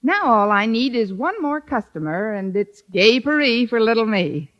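An actress playing a wry, wisecracking schoolteacher in an arch 1950s radio sitcom style. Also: female voice, fairly high pitch, sing-song, muffled recording. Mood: dry, amused. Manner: dry, arch, quick with comebacks. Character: wry, wisecracking woman schoolteacher with romantic hopes.

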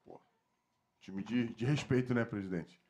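A man's voice: medium pitch, a little slow, warm tone. A man hosting a casual podcast interview in an informal, bantering way.

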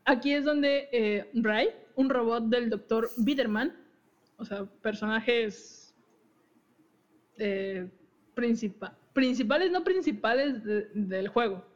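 A woman's voice: on the high side, takes it slowly. A young woman hosting a podcast, casually explaining game lore with frequent giggles and restarts. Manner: giggly, chatty, self-interrupting. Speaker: podcast host. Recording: dull lo-fi audio.

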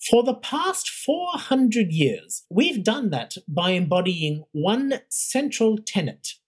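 Narrator playing a stern imperial academy head woman, audiobook, slow and scornful, wide-swinging pitch. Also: very animated, slightly rough, full character voice. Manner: cutting, scornful, deliberate.

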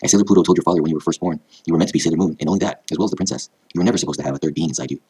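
Casting: man playing gentle, wise queen mother, formal phrasing, low husky voice, fantasy tale. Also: low-quality recording. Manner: measured, formal advice.

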